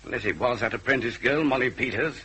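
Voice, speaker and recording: A man's voice: mid-range voice, fast, pushed and bright, husky. A man playing a weary, matter-of-fact British Scotland Yard detective who speaks in clipped phrases, in radio drama style. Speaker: supporting actor in detective drama.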